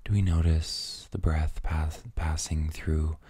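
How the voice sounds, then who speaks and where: A man leading a guided meditation in a soft, soothing, breathy near-whisper, gently and with long pauses between phrases. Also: very deep voice, flat delivery, dry recording.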